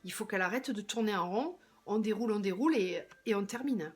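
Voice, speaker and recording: A slightly hoarse woman doing a tarot reading, thinking aloud hesitantly and often trailing off into a quieter voice. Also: medium pitch, a little fast, faint music behind. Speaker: tarot card reader.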